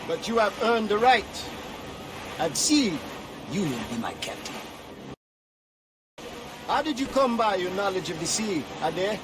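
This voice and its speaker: A man's voice: high-pitched, very animated, measured pace, pauses often, background noise. An actor playing the earnest leader of a community of freed slaves, weighing his words and dropping French Creole proverbs. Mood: eager. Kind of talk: video game cutscene acting. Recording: game cutscene with music behind.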